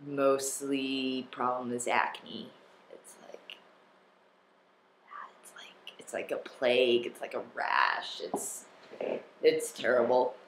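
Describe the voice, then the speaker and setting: Slow, rambling young man in echoey room. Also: mid-range voice, a bit bright, a little hiss or hum. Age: young adult. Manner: rambling, self-deprecating, many fillers and restarts. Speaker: vlogger. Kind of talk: casual personal vlog.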